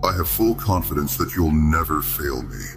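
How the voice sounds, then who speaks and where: Very deep, imperious clan grandmaster. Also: male voice, lively intonation, moderate pace, over music. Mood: pleased authority. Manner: authoritative, imperious. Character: commanding male grandmaster of an assassin clan. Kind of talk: dramatic audio drama acting.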